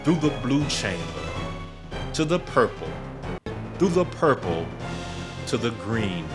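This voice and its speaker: A man narrating a gothic horror tale in a dramatic, deliberate, scripted style. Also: mid-range voice, big pitch swings. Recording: prominent music bed under narration. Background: music.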